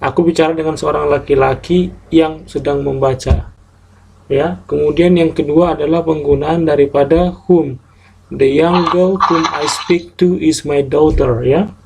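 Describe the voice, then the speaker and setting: A man teaching a translation class online, explaining grammar slowly and step by step with English example sentences. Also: a little high, steady tone, creaky, darkish tone, a little hiss or hum, low-quality recording.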